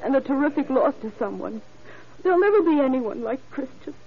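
A high-voiced distraught woman witness, anguished and bent on vengeance. She speaks in emotional 1930s radio drama style, on a muffled old broadcast recording. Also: lively intonation, fairly quick. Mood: grieving, distressed.

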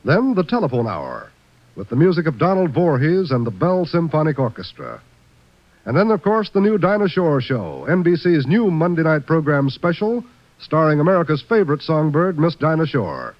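A man's voice: on the high side, sing-song, normal speed, no echo. A man announcing in formal, declamatory 1950s network radio style from a script. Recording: dull, dry vintage radio recording.

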